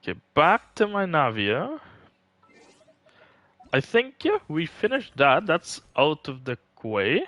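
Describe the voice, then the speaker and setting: A man's voice: a little high, huge pitch swings, slow pace, long pauses, a bit bright, dry recording, dull audio. A man doing casual live gaming commentary, chatting and thinking aloud as he plays. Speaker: game livestreamer. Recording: music playing behind. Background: music.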